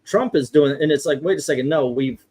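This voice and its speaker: Man chatting casually, halting delivery. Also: a little high, darkish tone, husky. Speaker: livestream host. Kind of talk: casual livestream chat.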